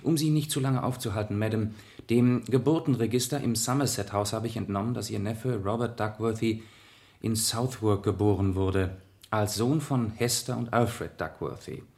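A shrewd gentleman detective in a radio play. His fairly low voice stays polite and measured, choosing words precisely while gently insinuating that he knows more. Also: vocal fry. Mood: polite, smooth. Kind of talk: German radio play acting.

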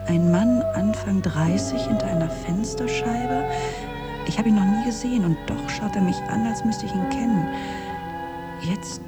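An actress as a brooding, watchful woman writer speaking her inner thoughts in a radio play. Her delivery is measured and poetic at a middling pitch, with loud instrumental music running beneath her. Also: lightly acted. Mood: drowsy, searching. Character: brooding woman writer, watchful, searching, partner to a secretive man. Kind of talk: introspective radio drama monologue and dialogue. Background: musical instruments under the voice.

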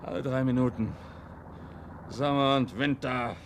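A man's voice: medium pitch, unhurried, heavy background noise, lightly acted. Radio drama: male actor as embittered, brusque husband who likes his drink, mid-range voice, punchy everyday speech. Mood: bitter, resolute. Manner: brusque, colloquial, emphatic. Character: blunt, bitter, hard-drinking German husband. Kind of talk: German radio play acting.

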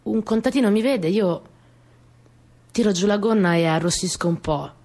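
A woman's voice: medium pitch, normal speed, darkish tone, lightly acted. An actress playing a stubborn, eager sixteen-year-old girl, a wartime partisan courier, in an earnest theatrical monologue full of repetitions. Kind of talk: theatrical monologue, first-person storytelling.

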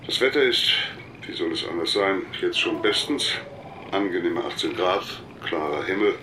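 An actor playing a chatty, self-satisfied airline captain making a jovial cockpit announcement in a radio drama. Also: male voice, sits low, lively intonation, moderate pace. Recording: noisy aircraft cabin announcement ambience.

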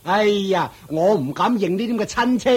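A man's voice: high voice, lively intonation, breathy edge. A man with a Hong Kong Cantonese accent playing a scornful, nagging uncle who runs a shop, mocking and belittling in a radio drama. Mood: irritated. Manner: mocking, belittling, drawn-out taunts.

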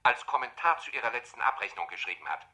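A man's voice: medium pitch, lively intonation, fast, bright, forceful tone. An actor playing a cutting male SS major from an economic office in a German radio drama, sardonic, pedantic and pressing. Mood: pedantic quoting.

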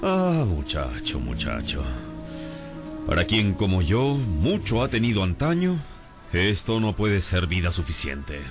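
A proud, deep-toned, theatrical impoverished gentleman. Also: wildly swooping pitch, unhurried, music in the background, heavily acted. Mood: wistful, proud. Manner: grandiose, courtly, old-fashioned phrasing. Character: proud impoverished gentleman, Lázaro's master, obsessed with honour. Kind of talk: scripted radio drama acting.

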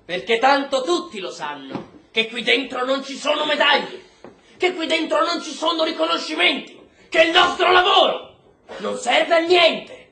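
An actor playing an embittered, volatile army colonel in a radio play, sardonic and mock-polite. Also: male voice, very high voice, lively intonation, moderate pace, gravelly, sharp tone, roomy sound, a little hiss or hum, exaggerated voice. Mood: bitter, indignant. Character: embittered, volatile male army colonel running a prison camp. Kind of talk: stage-style radio drama acting.

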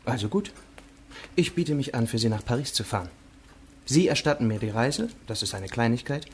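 An actor playing an eager, formal provincial official in a German radio play, assured and obliging. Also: male voice, medium pitch, animated, moderate pace, a little hiss or hum, lightly acted. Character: eager, businesslike nephew, a provincial court president.

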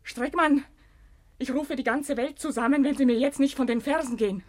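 Woman playing a distressed young peasant woman, high-voiced. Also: moderate pace, dry recording, close to an everyday voice. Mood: indignant. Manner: emotional, rustic speech. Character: distressed young peasant woman, wronged, torn between resignation and fury. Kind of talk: German radio drama acting.

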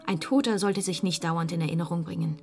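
A woman's voice: fairly low pitch, fast, vocal fry, darkish tone, faint music behind. An actress in a radio drama playing a hospitable, wistful widowed mother who speaks intimately and candidly. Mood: wistful, confiding.

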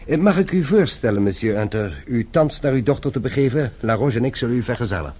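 An animated man's voice in a radio play. He is a French police inspector, suave and formally polite, brisk and used to command. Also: mid-range voice, husky. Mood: courteous, authoritative. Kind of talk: Dutch radio play acting.

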